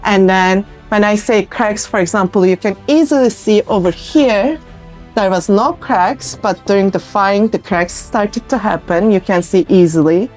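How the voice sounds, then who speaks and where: A woman's voice: mid-range voice, normal speed, darkish tone. Female artist, Turkish accent, informal interview guest, mid-range voice, light music underneath. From Turkey. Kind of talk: casual interview chat about own work.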